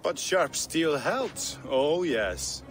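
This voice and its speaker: An actor playing a nervous, eager middle-aged Norse outcast trader in a video game, jittery and fawning, repeating himself in clipped phrases. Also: male voice, fairly high pitch, sing-song, very slow, a little hiss or hum, heavily acted. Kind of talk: video game cutscene acting.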